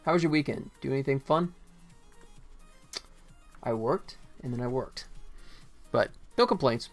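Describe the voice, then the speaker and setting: An American man in his forties chatting casually and haltingly as he livestreams game development, thinking aloud. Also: mid-range voice, faint music behind. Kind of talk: casual chat while working.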